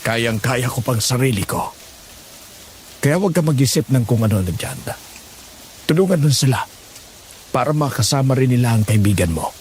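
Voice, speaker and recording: Man acting a calm, kindly older village father. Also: mid-range voice, normal speed, long pauses, slight background noise, lightly acted. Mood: gentle, reassuring. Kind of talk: audio horror drama acting.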